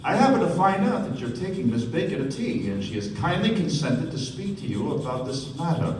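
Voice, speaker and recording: Suave wealthy host, very echoey. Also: male voice, a little high, very animated, brisk. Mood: earnest, confiding. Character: Gatsby, suave, courteous wealthy American host. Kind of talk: stage radio play acting. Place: theater stage before an audience. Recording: echoey stage, distant mic, noisy room.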